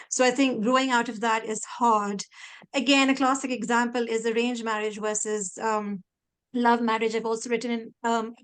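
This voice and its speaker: Woman panelist from Pakistan, clear resonant voice, slightly high, earnest video-call delivery. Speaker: executive coach.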